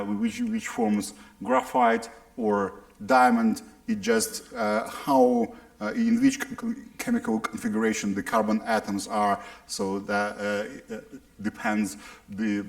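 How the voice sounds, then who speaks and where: Russian accent guy giving a science talk, really gravelly voice, pitch jumps all over, lots of stops and restarts. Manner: halting, many restarts and repeated words. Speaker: physicist giving a public lecture. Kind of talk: public science lecture.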